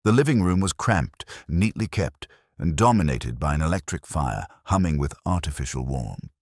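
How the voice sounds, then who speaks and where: A man reading scripted detective-story narration in a husky voice. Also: rumbling low, very animated, normal speed, no echo, studio quality.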